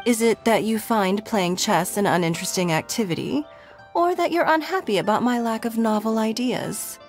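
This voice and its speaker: A woman's voice: medium pitch, natural voice. An actress voicing a composed young woman who teases with precise, wordy replies. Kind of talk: video game character dialogue. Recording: game audio over loud music.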